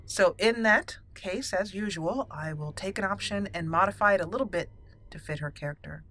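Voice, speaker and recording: A woman hosting a roleplay let's play, musing deliberately and slipping into her character's dialogue. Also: mid-range voice, a bit bright, slight background noise. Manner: musing, deliberate, thinking aloud. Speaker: gaming channel host roleplaying a character.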